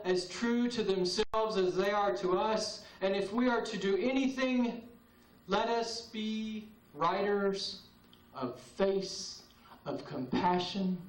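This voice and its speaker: A man performing spoken-word poetry at an open mic, with a slow, solemn, incantatory delivery and long pauses. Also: high voice. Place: open mic night in a cafe. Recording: roomy, distant VHS tape recording.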